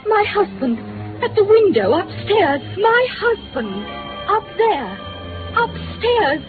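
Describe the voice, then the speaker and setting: A very high-voiced, distraught wife pleads in slow, broken, breathless phrases. It is old radio drama, thin and band-limited, with music behind. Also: female voice, sing-song, narrow, tinny audio, exaggerated voice. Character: distraught wife frantic over her missing husband.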